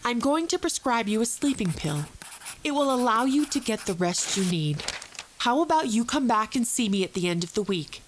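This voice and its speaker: A woman playing a calm, controlled psychologist who guides a patient in a measured, reassuring way in an audio drama. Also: on the high side, slight background noise. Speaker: actor in an audio fiction podcast. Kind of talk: audio drama, soothing clinical guidance. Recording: loud music under the voice.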